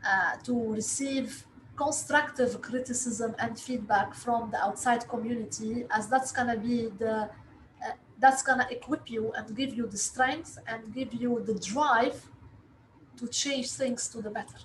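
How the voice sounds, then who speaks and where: A woman from Lebanon, a native Arabic speaker, answering interview questions in a halting way with frequent filled pauses. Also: fairly high pitch. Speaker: interview guest, investor relations director. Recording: echoey room with faint background noise.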